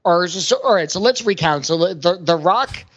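A man's voice: high-pitched, lively intonation, normal speed, slightly rough, dull audio. A man rambling and thinking aloud as he casually discusses movies as a podcast host.

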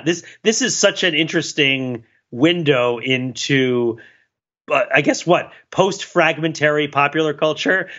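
A man co-hosting a podcast in casual chat, talking in a rambling, animated, wryly self-deprecating way. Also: on the high side, muffled recording. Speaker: podcast co-host.

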